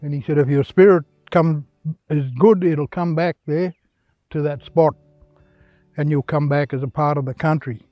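An older man with an Australian accent telling stories informally on location in a plain-spoken, halting, conversational way. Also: fairly high pitch, fairly even intonation, no room sound, dull audio. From Western Australia. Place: outdoors in open dry country. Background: guitar music.

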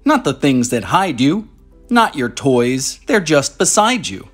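An American man in his thirties hosting a children's read-aloud show, speaking to young viewers in clear, simple phrases as he presents and reads from a script. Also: medium pitch, very animated, measured pace, reverberant, faint music behind. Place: small furnished room indoors.

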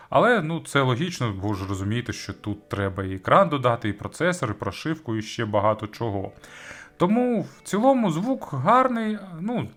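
A man's voice: on the low side, fairly bright tone. A man giving a conversational product review as host, searching for words and adding informal asides. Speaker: audio gear reviewer and host.

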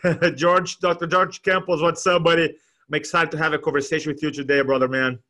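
A man in his forties with a Brazilian Portuguese accent, speaking on a video call in an energetic, animated way and repeating phrases for emphasis. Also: fairly high pitch, brisk. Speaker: guest speaker. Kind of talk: energetic motivational talk. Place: small room at home. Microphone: desk microphone on video call.

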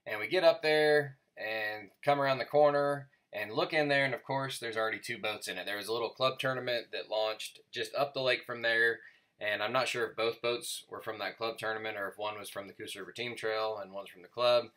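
A man's voice: lowish voice, fairly even intonation, brisk, a bit bright. A man in his thirties, a fishing vlogger and father, talking in an upbeat, chatty way about his gear and his day on the lake. He speaks partly on a boat-mounted action camera and partly in voice-over narration. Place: outdoors on a lake boat and in a carport. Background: background music.

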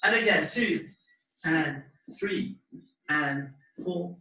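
A man's voice: a little high, very slow, vocal fry, sharp tone. A man teaching a live online dance class from his living room, giving step-by-step instructions in an encouraging way. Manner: encouraging, hesitant between instructions. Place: living room at home, indoors. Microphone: laptop or webcam mic across the room. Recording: roomy, distant microphone.

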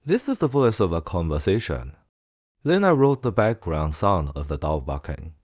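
Guy walking thru a software tutorial, lowish voice that goes up and down a lot, really dry close sound. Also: very animated, normal speed, no echo. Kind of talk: casual screen-recorded software tutorial.

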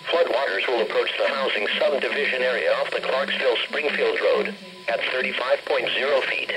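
An automated male announcer with a mid-range synthetic voice delivers formal emergency weather bulletins in a measured way. The voice comes out of a weather radio speaker and is picked up by a handheld camera. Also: mid-range voice, background noise. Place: carpeted room in a home. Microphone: camera held near the radio.

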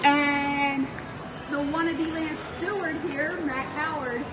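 A woman's voice: high voice, fairly even intonation, slow, a loud crowd, heavy background noise. A young woman chatting casually to a vlog camera in a playful, teasing way, recorded handheld in busy public places. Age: young adult. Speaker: vlogger. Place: busy stores, mall and parking lot. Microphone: handheld camera at arm's length.

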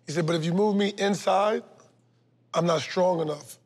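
A husky-voiced man hosting a casual group conversation. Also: high-pitched, moderate pace. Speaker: show host. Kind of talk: casual round-table chat. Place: large barbershop-style studio lounge indoors.

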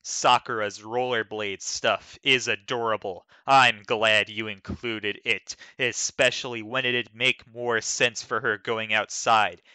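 A man reads written text aloud as if on stage, in a slightly low voice with a very bright, crisp edge. His delivery is slow, measured and dramatic, and the recording is dry and close. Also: no room sound. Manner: theatrical, deliberate, measured delivery. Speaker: dramatic reader of an online comment. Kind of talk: dramatic scripted reading.

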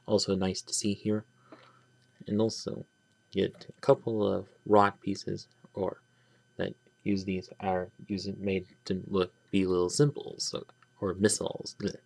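A man giving a casual, rambling toy review, pausing and restarting often as he handles the pieces. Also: low-pitched, flat delivery, creaky, a little mellow, no room sound. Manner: rambling, halting, many restarts and trailing "but yeah". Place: small indoor room at a table. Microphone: camera close on hands, speaker off-frame.

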